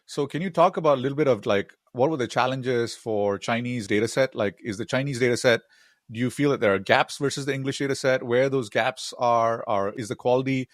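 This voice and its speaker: A man's voice: mid-range voice, brisk, dry recording, studio quality. A man hosting a podcast interview, asking long rambling questions full of filler words. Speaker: podcast host. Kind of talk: casual interview.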